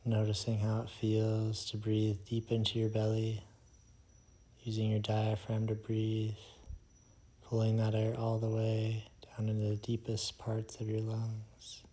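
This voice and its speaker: Slow, low, mellow male meditation guide. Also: completely flat delivery, breathy edge. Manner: slow, soothing, hushed delivery with long pauses.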